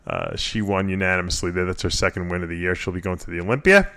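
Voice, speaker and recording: A man's voice: low-pitched, fairly even intonation, fast talker, vocal fry, pushed and bright. A man hosting a casual radio talk show, rambling and joking with plenty of fillers. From Florida. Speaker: host.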